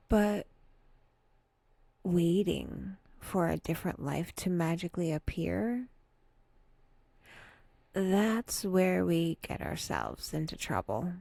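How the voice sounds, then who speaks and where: A woman softly leading a guided meditation in a breathy, intimate voice that often dips toward a whisper, unhurried with long pauses and gentle wry asides. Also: lowish voice, dry recording.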